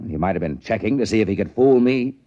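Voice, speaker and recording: Shrewd, musing frontier boss, lowish animated voice, muffled vintage radio sci-fi recording. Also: male voice, fast talker. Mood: suspicious. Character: shrewd, wary male trading-post boss. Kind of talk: 1950s radio drama acting.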